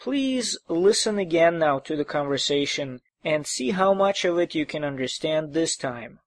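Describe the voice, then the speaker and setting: A man's voice: a little high, lively intonation, a little slow. A man teaching a recorded language lesson, patiently explaining phrases and drilling them by repetition.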